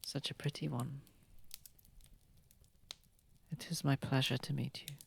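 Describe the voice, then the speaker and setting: A man voicing a gentle, courtly old vampire in an ASMR roleplay, speaking softly and tenderly, often close to a whisper. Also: medium pitch, flat delivery, a little hiss or hum. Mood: warm, pleased. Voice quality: soft, breathy, slightly gravelly, often near whisper. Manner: tender, polite, unhurried, soothing. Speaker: ASMR voice actor. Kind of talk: ASMR roleplay, soft intimate acting. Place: close, dry recording. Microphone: close microphone.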